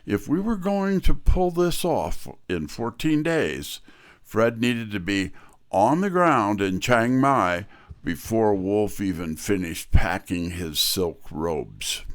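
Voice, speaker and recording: A man plays a detective's wry right-hand man in an audio mystery. The voice sits fairly low and moves with lively melody, yet takes its time. The manner is businesslike and hard-boiled. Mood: narrating. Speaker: narrator and character actor. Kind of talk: first-person detective drama narration.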